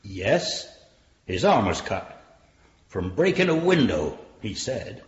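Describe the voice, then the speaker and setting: A man's voice: on the low side, big pitch swings, slow, slight background noise. An actor playing a plain-spoken male village pub landlord as a witness in a radio drama. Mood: candid. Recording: roomy, slightly muffled radio drama.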